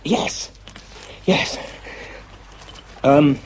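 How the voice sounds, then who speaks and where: A man acting in a radio drama as an exhausted, shaky, methodical bomb disposal expert, speaking haltingly under strain. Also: slight background noise. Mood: tense, concentrating. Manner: halting, hesitant, muttering as he works. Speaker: actor and narrator in a radio drama. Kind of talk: radio drama acting, first-person monologue.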